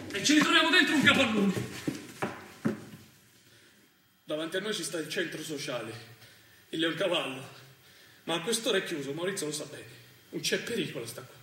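A man's voice: sits high, bright, forceful tone, exaggerated voice. An actor playing a cocky, crude young Roman gunman in an intense, confessional stage monologue with a Roman accent. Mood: tense, hushed swings. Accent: Roman Italian. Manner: intense, crude street talk with sudden swells. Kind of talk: stage monologue, confessional first-person storytelling. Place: theatre stage, recorded from a distance. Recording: roomy distant stage recording, faint noise.

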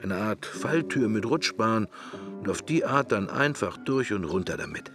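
An actor playing a wry, brooding middle-aged man murmuring a wandering inner monologue in a radio drama, gravelly and husky. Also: on the low side, animated, moderate pace, over music, lightly acted. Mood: wry musing. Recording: roomless, very dry studio sound.

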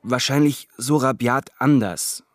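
A man's voice: medium pitch, a little slow. A man delivering scripted commentary in a radio play. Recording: crowd chatter behind voice.

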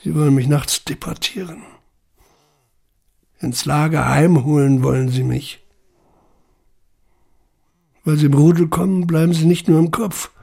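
Male narrator, mid-pitched, raspy creaking voice, brooding intensity, first-person literary reading. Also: a little slow, long pauses, warm tone.

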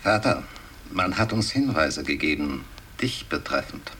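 Guarded son, very low-voiced. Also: male voice, big pitch swings, a little slow, fairly bright tone, slight background noise, full character voice. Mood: accusing, urgent. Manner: terse, restrained, earnest. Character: guarded adult son, terse, secretive, accusing his father. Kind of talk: 1960s German radio drama acting.

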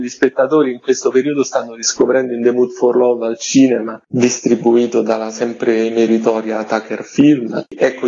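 A middle-aged man speaks as a guest in a radio interview. His voice sits at a medium pitch with a husky edge. He explains things in a chatty way, peppered with filler words, on a slightly muffled recording. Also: mellow. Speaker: guest, film festival organizer. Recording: slightly dull, lo-fi audio.

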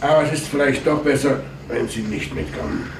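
An actor playing a calm, probing police inspector with dry irony and measured, terse questions in a 1950s German radio play. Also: male voice, medium pitch, close to an everyday voice. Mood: musing, firm. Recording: roomy, noisy 1950s radio recording.

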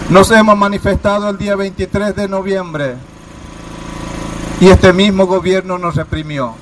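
Impassioned high-voiced man giving a protest speech. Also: a little hiss or hum. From Formosa province, Argentina. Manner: impassioned, rhetorical questions, raised voice.

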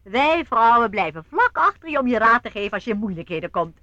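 Eccentric, breezy old aunt, swooping high voice. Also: female voice, very animated, a little fast, pushed and bright, no echo, dull audio. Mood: brisk, teasing. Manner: breezy, chuckling, fondly condescending. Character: cheerful eccentric elderly aunt, fond of her zombie playmates. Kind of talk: radio play acting.

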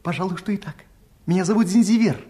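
A woman playing a humble, tender young male gardener in a fairy-tale audio play, soft-spoken, bashful and earnest. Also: mid-range voice, fast, close to an everyday voice. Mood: shy. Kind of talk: theatrical fairy-tale radio play acting.

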